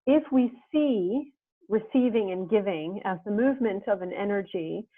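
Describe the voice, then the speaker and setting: A woman's voice: medium pitch, moderate pace, no room sound. Reflective woman on a tinny video call. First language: English. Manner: earnest, reflective, speaks in long winding thoughts. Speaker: interviewer and host. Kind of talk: casual video-call interview. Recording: dry tinny phone-like video-call audio.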